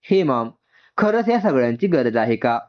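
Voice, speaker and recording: A man's voice: medium pitch, very animated, normal speed, close to an everyday voice. A man voicing an arrogant, irritable young rock star in a told story, dismissive and mixing in English. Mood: annoyed. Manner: annoyed, dismissive, mixes in English. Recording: dull, muffled lo-fi sound.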